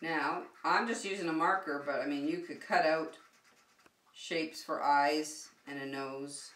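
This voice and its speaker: A woman's voice: low-pitched, fairly even intonation, a little slow, fairly bright tone. A middle-aged woman hosting a children's circle time and craft lesson, speaking in a sing-song, encouraging way with simple phrases. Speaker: preschool circle time teacher and host. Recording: echoey room, distant microphone.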